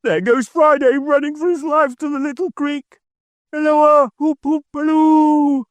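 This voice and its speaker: A man voicing an old male miser, speaking in a shrill, excited voice between laughing and crying. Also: normal speed, no room sound, natural voice. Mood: delighted. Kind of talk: audiobook character voice.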